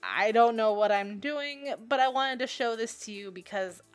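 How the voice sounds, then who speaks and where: A young woman with a fairly high voice talks off the cuff to her viewers. Her manner is informal, giggly and self-deprecating. Music plays softly behind her casual rambling. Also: moderate pace. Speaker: video creator reviewing software. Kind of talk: casual rambling chat to viewers over a screen recording.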